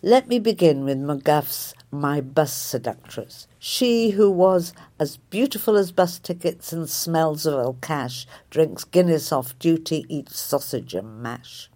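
A woman, a writer reading a reflective personal essay in a measured, thoughtful way. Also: low voice, lively intonation, dark tone. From Liverpool area, England.

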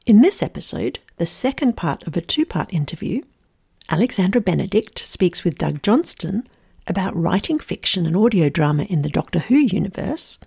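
A woman's voice: fairly low pitch, sing-song, moderate pace, vocal fry, darkish tone, breathy edge. A woman reading a scripted podcast introduction as the announcer.

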